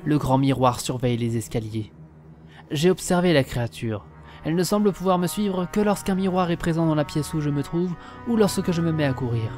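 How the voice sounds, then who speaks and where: A man's voice: a little high, lively intonation, darkish tone, natural voice. A man as a solemn old letter-writer, music behind. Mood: grave, urgent. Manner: solemn, literary, measured phrasing. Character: grave old estate owner reading his 1922 warning letter. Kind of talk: formal letter read as dramatic voice-over.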